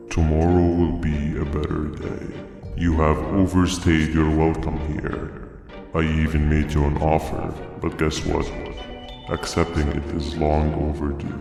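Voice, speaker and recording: A very deep, gravelly game monster, brooding and philosophical. The creature speaks with slow, formal menace in a cavernous, echoing space. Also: male voice. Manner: slow, grandiose, formal speech. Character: brooding, menacing many-armed sea creature, solemn and philosophical. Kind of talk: video game character monologue. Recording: cavernous echo, music behind.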